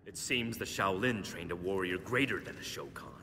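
An actor playing a heroic young monk fighter in a video game, earnest and declamatory. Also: male voice, sits low, expressive, moderate pace, background noise. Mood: determined. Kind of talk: video game cutscene acting.

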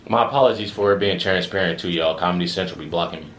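Young guy, super deep voice, laid back and slangy, reaction vlog, echoey room. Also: quick pace, a bit bright, a little hiss or hum. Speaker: reaction channel host. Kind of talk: casual reaction vlog chat. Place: small indoor room with records on the wall.

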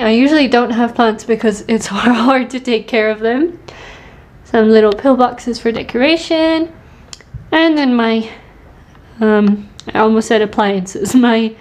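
A woman's voice: fairly high pitch, a little mellow. A woman in her twenties chatting casually and self-deprecatingly as she shows her study notebooks, laughing now and then. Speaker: language learner vlogger. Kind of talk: casual show-and-tell narration.

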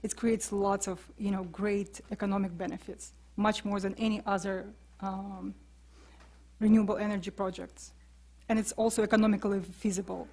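A woman's voice: medium pitch, little pitch movement, warm tone. A woman, a panelist answering questions in a briefing room, with frequent fillers. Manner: halting, many fillers.

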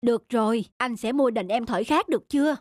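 A patient, soothing cartoon dad. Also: male voice, very high voice, a little fast, soft background music. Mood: calm, soothing. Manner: gentle, reasoning, explanatory. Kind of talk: animated cartoon voice acting.

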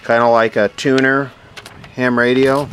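A man giving casual, hands-on unboxing commentary, musing aloud in short phrases with pauses between them. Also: mid-range voice. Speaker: vlogger unboxing vintage radio gear. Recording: steady background noise.

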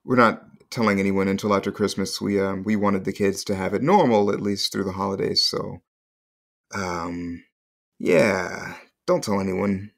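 An actor in an audio play playing an awkward, heartbroken, tender young father who speaks haltingly and corrects himself. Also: low-pitched, steady tone, vocal fry. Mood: awkward, sad. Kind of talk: naturalistic comedy-drama acting.

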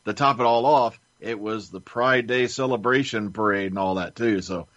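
A man's voice: on the low side, normal speed, a bit bright, dry recording. A man chatting casually as a panelist on a podcast, recounting things informally. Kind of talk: casual chat. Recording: dull lo-fi sound.

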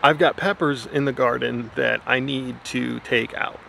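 A man's voice: medium pitch, steady tone, measured pace, vocal fry, pushed and bright, slight background noise. A man in his thirties talking casually to his camera outdoors in his garden, thinking aloud with mild worry. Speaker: home gardener vlogger. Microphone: camera held at arm's length, outdoors.

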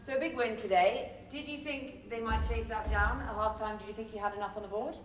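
A woman's voice: fairly high pitch, fairly quick. A woman moderating a sports press conference, asking casual interview questions. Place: indoor press conference room. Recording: echoey room, distant mic, faint noise.

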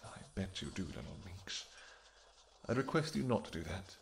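Man voicing a vampire king, deep-ish gravelly tone, playful and affectionate, soft murmuring delivery. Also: sing-song, normal speed, a little hiss or hum, exaggerated voice. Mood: flirty teasing. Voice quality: gravelly, low. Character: royal vampire king, adult male, flirtatious. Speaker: audio roleplay performer. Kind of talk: audio roleplay acting.

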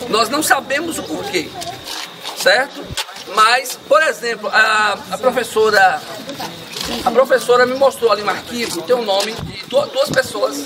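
A Brazilian man with a notably high voice explains local history to a crowd standing in an open square. He sounds far from the camera, and steady outdoor noise runs under his lecturing tone. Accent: Brazilian Portuguese. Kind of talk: outdoor history talk to a group. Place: sunny town square outdoors. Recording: distant outdoor voice with background noise.

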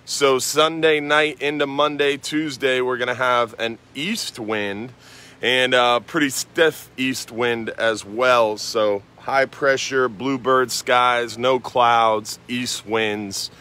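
American man, marina host, bright forward voice, easygoing fishing update outdoors. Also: mid-range voice, slow, clean tone. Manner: relaxed, rambling, many "uh" fillers. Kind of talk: casual fishing and weather report.

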